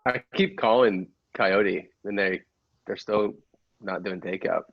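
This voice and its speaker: Gravelly man in laid-back chat. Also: lowish voice, slow, muffled recording, background noise. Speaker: podcast cast member.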